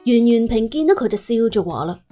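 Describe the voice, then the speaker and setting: A woman narrating an audiobook in animated storytelling style. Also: on the high side, fairly quick, soft background music.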